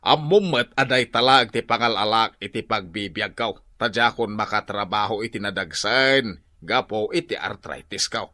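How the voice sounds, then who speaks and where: Cranky, forceful old Ilocano father-in-law. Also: on the low side, expressive, moderate pace. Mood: weary complaint. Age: older. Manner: scolding, exasperated. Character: cranky elderly father-in-law, stern and tight with money. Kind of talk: radio drama acting.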